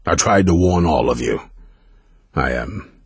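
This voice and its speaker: A stern male leader of vampire hunters in a fantasy video game. His voice is extremely low and thick with gravel, recorded close and dry. He speaks bluntly and with command, suspicious and grudging toward those around him. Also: lively intonation, measured pace, dry recording, full character voice. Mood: gruff, grudging. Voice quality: very deep, gravelly, raspy. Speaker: leader of a vampire-hunting order.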